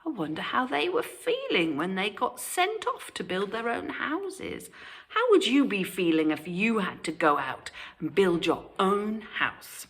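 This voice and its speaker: A woman's voice: mid-range voice, very animated, measured pace, fairly bright tone. A woman with a British accent reading a children's story aloud with animation, turning aside to question her young listeners. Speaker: primary school storyteller.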